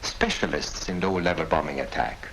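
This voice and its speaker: Shrewd German officer, silky condescending courtesy, forceful bright tone, 1940s film drama, noisy vintage soundtrack. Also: male voice, extremely low pitch, normal speed. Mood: shrewd, explaining. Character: suave, shrewd German major interrogating captured airmen.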